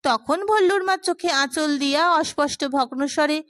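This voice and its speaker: High-voiced woman reading a story. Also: moderate pace, dry recording. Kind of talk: scripted audiobook narration of literary prose.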